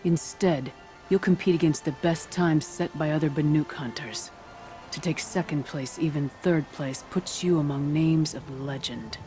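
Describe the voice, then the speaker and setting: An actress playing an old, proud huntress and trainer in a video game, with a wry storyteller's manner. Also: female voice, low-pitched, normal speed, warm tone, faint music behind, lightly acted. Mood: proud, instructive. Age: older. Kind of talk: video game dramatic dialogue.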